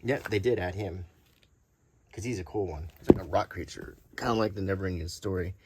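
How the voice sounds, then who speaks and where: A man hosting an unscripted show-and-tell, talking casually and thinking aloud in a rambling, unhurried way. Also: low voice, heavy background noise. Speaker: host showing vintage game cards.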